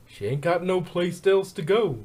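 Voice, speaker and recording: A man voicing a calm, protective male farm pony with plain-spoken country phrasing in a story reading. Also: high voice, measured pace, pitched above the performer's own voice. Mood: firm.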